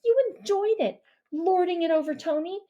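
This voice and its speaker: A woman's voice: very high-pitched, normal speed, muffled recording, pitched above the performer's own voice. A woman doing a fierce, accusing teenage girl. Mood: angry. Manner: accusing, intense. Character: fierce righteous teenage girl leading a trial.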